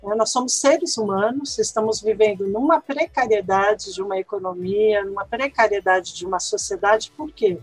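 Husky-voiced Brazilian woman explaining. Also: medium pitch, moderate pace, hardly any pauses, no echo, faint music behind. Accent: Brazilian Portuguese. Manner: animated, explanatory, frequent "né?" tags. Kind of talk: conversational interview.

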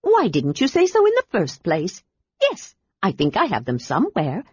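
A woman's voice: mid-range voice, wildly swooping pitch, moderate pace, breathy edge, no echo, lo-fi sound, heavily acted. A woman voicing an eager, chatty little male kender who rambles breathlessly.

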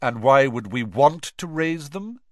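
A man's voice: fairly high pitch, huge pitch swings, takes it slowly, breathy edge, no room sound, studio quality, voice pushed up, full character voice. A man voicing an arrogant teenage boy who speaks in a cold, sarcastic drawl. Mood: sneering.

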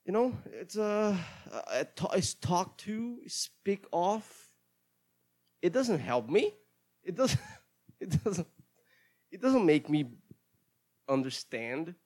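A Brazilian man with Portuguese as his first language, hosting a casual solo show in accented English, rambling in a halting, self-deprecating way and often repeating words. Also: sits high, sing-song, a little mellow.

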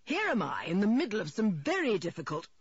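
Woman voicing an irritable father, dry and animated. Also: medium pitch, a little fast, breathy edge, no room sound, muffled recording, full character voice. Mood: irritated. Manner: snapping, brusque, scornful. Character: irritable, impatient, dismissive father. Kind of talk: audiobook character voice.

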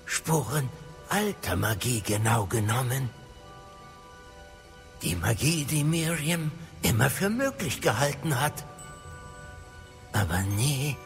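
Earnest, expressive older male wizard professor. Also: mid-range voice, slow pace, pauses often, soft background music. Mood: awed, grave. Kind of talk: dramatic audio drama acting.

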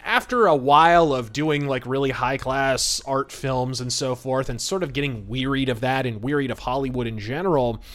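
A man's voice: mid-range voice, moderate pace, few pauses, a bit bright, soft background music. A man hosting a podcast, giving conversational film commentary in a chatty, discursive way with frequent asides. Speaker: podcast host.

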